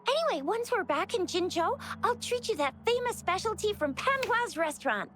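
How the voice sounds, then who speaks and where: A very high-pitched bubbly young girl. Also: normal speed, slight background noise, exaggerated voice. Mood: cheerful, friendly. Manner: excitable, chirpy. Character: bubbly, eager, friendly young girl adventurer. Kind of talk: video game voice acting.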